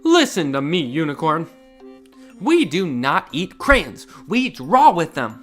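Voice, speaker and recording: A man reading as an excitable young child, earnest and dramatic, in a storybook. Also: fairly high pitch, slow pace, faint music behind, heavily acted. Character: excitable young child telling of a scribbling unicorn.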